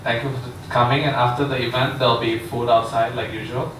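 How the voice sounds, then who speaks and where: A man's voice: medium pitch, level tone, a little fast, noisy background. A man hosting an event, introducing the speaker informally and hesitantly, with many fillers. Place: large echoey lecture room. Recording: echoey room recorded from far off.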